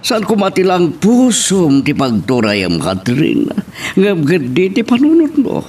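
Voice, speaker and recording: A man playing an amorous older pensioner in an audio drama, wheedling a young woman with drawn-out delivery. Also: high voice, huge pitch swings, a little mellow, faint music behind, exaggerated voice. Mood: pleading, boastful. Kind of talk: Ilocano radio-style drama acting.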